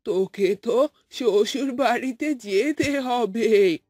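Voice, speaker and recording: A woman acts out an elderly village grandmother for a cartoon. She is doting and fussy, her voice husky and very slow. The sound is close and dry. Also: fairly high pitch, darkish tone, dry recording. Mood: gently chiding. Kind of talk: cartoon voice acting.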